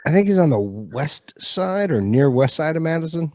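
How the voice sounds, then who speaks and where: A man's voice: on the high side, very animated, moderate pace, a little mellow, no echo, studio quality. A man in his thirties hosting a podcast, chatting casually with a wry, joking manner. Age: 30s. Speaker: podcast host. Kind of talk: casual chat.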